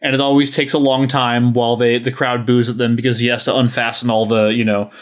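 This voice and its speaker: A man's voice: mid-range voice, little pitch movement, a little fast. A man hosting a podcast, chatting casually and making announcements. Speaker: podcast host.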